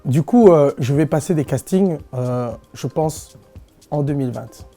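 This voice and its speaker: A man's voice: on the high side, a little slow, mellow, soft background music. A man, answering interview questions in a loose, slangy, playful way as a dancer and social media comedian. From Belgium. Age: 20s.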